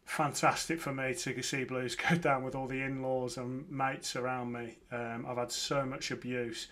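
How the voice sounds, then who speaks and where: A man's voice: medium pitch, level tone, moderate pace, fairly bright tone. A man in his thirties from Lancashire with a Birmingham accent, giving a casual, enthusiastic football match reaction from home. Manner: chatty, enthusiastic, frequent fillers and restarts. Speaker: football podcast host. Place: home room with framed shirts, indoors.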